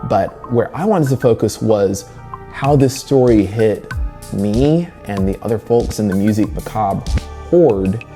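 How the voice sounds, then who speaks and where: A man hosting a literature channel, narrating a scripted video essay with dramatic pauses and emphasis. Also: on the low side, mellow. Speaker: host of a horror literature channel. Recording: music bed behind the voice. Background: background music.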